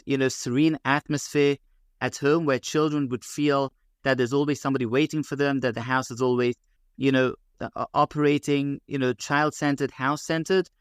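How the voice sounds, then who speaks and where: Thoughtful London-born man, smooth voice. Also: on the high side, no room sound, studio quality. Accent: London English. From England. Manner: measured, many fillers and restarts. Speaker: guest rabbi. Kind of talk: podcast interview.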